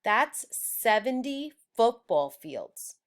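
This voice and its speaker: A woman's voice: on the high side, sing-song, drawn-out words, pitched above the performer's own voice, full character voice. A woman voicing an earnest adult male schoolteacher from a children's storybook, instructive and emphatic.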